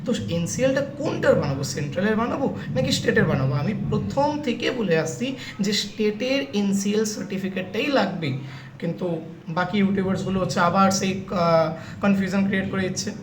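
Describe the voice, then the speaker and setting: Fast-talking, insistent young host in an echoey room. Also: medium pitch, expressive. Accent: Bengali. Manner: insistent, repeatedly checking with "okay?". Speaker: YouTube host giving exam counselling updates. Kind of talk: casual direct address to students. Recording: echoey room with clear background noise.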